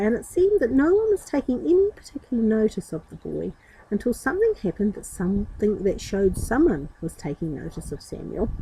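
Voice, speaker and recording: A woman's voice: medium pitch, warm tone, breathy edge, low-quality recording. A woman storyteller reading a children's story expressively, with informal asides.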